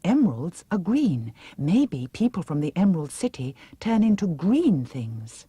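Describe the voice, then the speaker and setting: Woman as young storybook heroine, girlish, earnest, urgent, very breathy, leaping lively pitch. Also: a little slow, no room sound, full character voice. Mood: thoughtful. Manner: breathy, urgent, wide-eyed. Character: brave, earnest, excitable young girl heroine.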